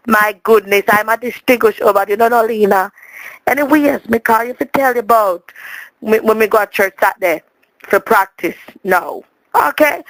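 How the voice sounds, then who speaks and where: A woman's voice: fairly high pitch, a bit bright, no echo, rough recording. Chatty, dramatic Jamaican woman telling a story. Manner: dramatic, chatty, repeats words for emphasis. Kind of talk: rambling comic storytelling in a voicemail.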